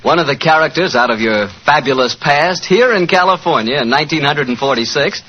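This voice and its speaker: Male American 1940s radio host, highish animated voice, husky edge, emphatic pitchman delivery, thin phone-like vintage recording. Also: a little fast, a little hiss or hum. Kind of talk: 1940s radio sponsor pitch and scripted chat.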